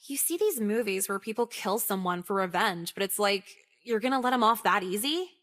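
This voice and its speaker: A woman's voice: mid-range voice, lively intonation, brisk, a bit bright, natural voice. A woman acts out a callous American teenage girl in a visual novel. She speaks in a mid-range voice, casual and full of slang. Her delivery is sardonic and self-absorbed, like a confession with no remorse. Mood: smug, dry. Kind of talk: visual novel voice acting, first-person monologue.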